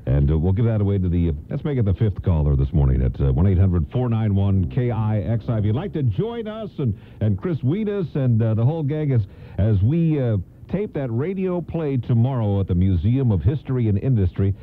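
A man's voice: fairly low pitch, sing-song, a little fast. A middle-aged American man hosting a casual morning radio show, chatty and jokey. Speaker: radio host. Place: radio studio. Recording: narrow-band AM radio broadcast, noisy.

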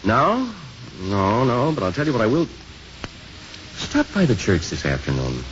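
Easygoing, low-voiced kindly priest. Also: male voice, wildly swooping pitch, a little slow. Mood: warm, reflective. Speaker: actor in a radio drama. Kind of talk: 1950s radio acting. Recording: muffled, lightly noisy 1950s radio broadcast.

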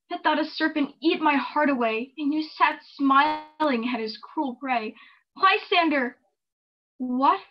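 A high-pitched, frightened young heroine of classical theatre played by a woman, pleading and exclaiming as if waking from a nightmare. She speaks at an unhurried pace, through slightly muffled video-call audio. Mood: fearful, searching. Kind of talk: theatrical Shakespeare monologue.

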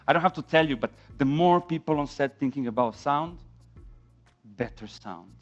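A man's voice: on the high side, moderate pace. A man in his thirties from Serbia with a Serbian accent, giving an informal, emphatic talk as a conference speaker. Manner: animated, emphatic, rhetorical questions. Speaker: conference speaker on film sound. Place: dim indoor conference room, on stage. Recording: dull lo-fi event recording with music.

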